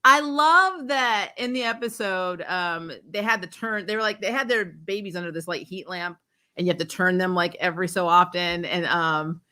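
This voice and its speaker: Bright-voiced woman chatting through a recap. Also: lowish voice, normal speed. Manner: rambling, self-correcting, giggly, informal. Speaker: host of a TV show recap livestream. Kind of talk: casual chatty episode recap with acted-out quotes.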